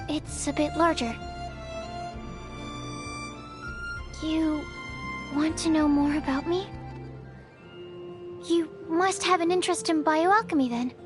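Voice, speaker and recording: An actress playing a shy, bookish young alchemist in a video game, speaking in an earnest, precise, softly awkward way. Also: female voice, very high-pitched. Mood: earnest, gentle. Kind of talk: scripted video game character voice lines.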